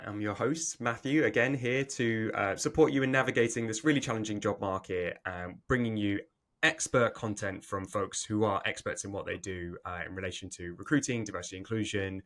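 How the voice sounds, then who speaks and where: A man with a somewhat low voice hosts a podcast interview. He talks in halting stretches full of fillers and restarts, and he frames his questions at length. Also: fairly bright tone. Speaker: podcast host.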